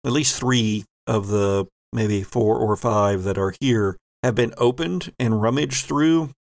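Male game master, deliberate and slow, gravelly fry, very dry close sound. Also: lowish voice, dry recording. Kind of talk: improvised tabletop game narration.

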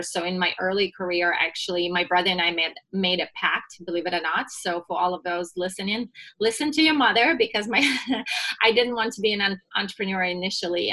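A woman from Russia with a Russian accent, telling her story as a podcast guest with lively energy. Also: lowish voice, low-quality recording. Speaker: guest, business founder. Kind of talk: podcast interview.

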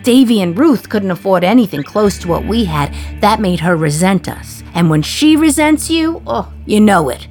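A woman's voice: medium pitch, big pitch swings, moderate pace, hardly any pauses, darkish tone, soft background music. An actress playing a sardonic, resentful jailed woman in her thirties from 1950s New York, confiding her memories in a radio play monologue. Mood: bitter, wry.

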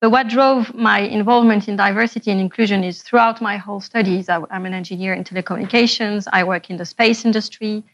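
Woman from Morocco with a creaky voice. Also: medium pitch, fairly even intonation, quick pace, few pauses, dull audio. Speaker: panelist and engineer. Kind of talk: panel discussion.

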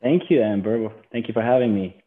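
A man from Lebanon, a physician guest in an interview, talking in a halting way with many fillers and restarts. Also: fairly low pitch. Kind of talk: casual interview. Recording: tinny phone line.

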